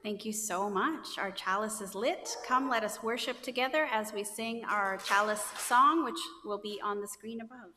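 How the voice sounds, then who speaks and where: A woman's voice: medium pitch, moderate pace, hardly any pauses. A woman leading a church service, chatting casually through announcements with fillers and restarts. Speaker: service leader. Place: church sanctuary. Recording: long hall reverb, organ music behind.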